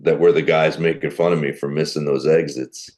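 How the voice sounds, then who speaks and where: A middle-aged man with a deep voice, a comedian telling animated stories in a podcast interview. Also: fairly quick. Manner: animated storyteller who acts out quoted people. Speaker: guest comedian.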